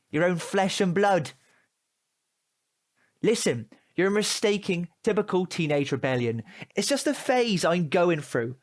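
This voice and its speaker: A man's voice: sits high, exaggerated voice. A British man playing a cheeky, aggrieved teenage boy in a comic audio drama, indignant, slangy and wheedling. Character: cheeky, aggrieved, foul-mouthed male teenage tearaway.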